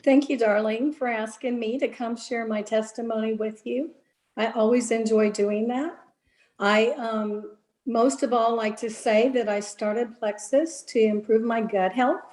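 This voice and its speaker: A woman in her sixties from Louisiana, earnestly sharing a personal testimony on a video call, with many pauses and fillers. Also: a little high, steady tone, darkish tone, poor audio. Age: 60s. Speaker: guest giving a testimony.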